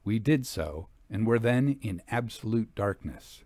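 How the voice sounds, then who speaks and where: Expressive male storyteller, close and dry. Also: lowish voice, a little slow, no room sound. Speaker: audiobook reader. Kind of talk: scripted narration of a Victorian ghost story.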